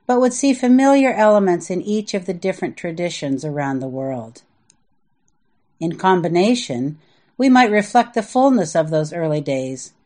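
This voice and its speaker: An American woman from the Midwest reading her own reflective essay aloud in a measured, earnest manner. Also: fairly low pitch, darkish tone. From US Midwest (Indiana and Iowa). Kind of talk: reflective scripted narration.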